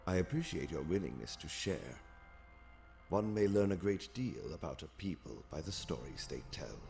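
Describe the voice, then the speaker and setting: A man playing a calm, exiled alien warrior in an audio comic drama, speaking formally and precisely, with courteous, calculating composure. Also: low voice, creaky. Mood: calm, analytical. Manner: formal, measured, precise phrasing. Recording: music behind the voice.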